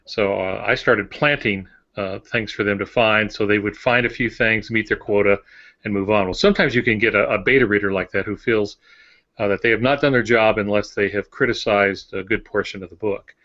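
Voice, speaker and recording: An older man co-hosting a podcast, giving conversational advice and anecdotes in a measured, hesitant way. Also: fairly low pitch, steady tone, a bit bright. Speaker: podcast co-host and writer. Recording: dull lo-fi audio.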